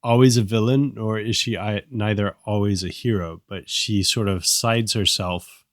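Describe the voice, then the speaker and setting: A man from Southern California with a fairly low voice co-hosts a review show. He explains things casually and agreeably, in a measured way with small pauses. The recording is dry, without room sound. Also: steady tone, no room sound. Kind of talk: casual discussion with explanatory commentary.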